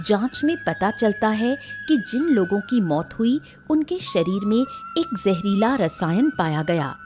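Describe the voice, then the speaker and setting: A woman's voice: medium pitch, big pitch swings, a little slow, a little mellow, soft background music. A woman narrating a scripted moral story in a dramatic storytelling style.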